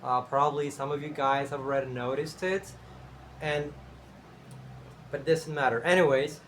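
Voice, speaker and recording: A young man from Cuba with a Cuban Spanish accent, Spanish his first language, talking casually to camera as a channel host, unhurried and thinking aloud with fillers and restarts. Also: fairly high pitch, fairly even intonation, fairly bright tone, slight background noise.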